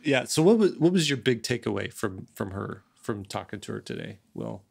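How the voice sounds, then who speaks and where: Gravelly middle-aged man hosting a podcast. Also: fairly low pitch, very animated, normal speed. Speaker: podcast host. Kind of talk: casual podcast chat. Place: home studio, on a video call. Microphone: large desk microphone, close.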